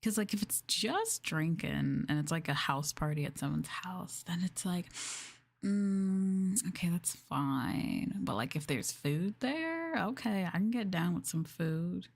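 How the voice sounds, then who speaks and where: A woman speaks in a soft, slightly low voice with a breathy, husky edge that often sits close to a whisper. She chats casually and unhurriedly as an ASMR livestream host, musing along with her viewers. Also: expressive, measured pace.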